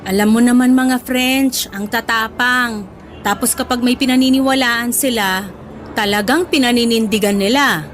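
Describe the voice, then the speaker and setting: A woman's voice: fairly high pitch, steady tone, normal speed, soft background music, natural voice. A woman playing a middle-aged Filipina mother in a radio drama, affectionate yet exasperated, with a Filipino accent. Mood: cautious, explaining. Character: Filipina mother, fond, teasing, scolding, uneasy about home. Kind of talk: Tagalog radio drama acting.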